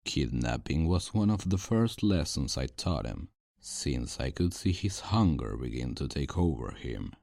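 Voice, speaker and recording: A man playing a sadistic, taunting vampire in a dark ASMR roleplay, theatrical and mock-polite. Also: very deep voice, very animated, a little slow, creaky, no echo, studio quality, full character voice. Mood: smug, reminiscing.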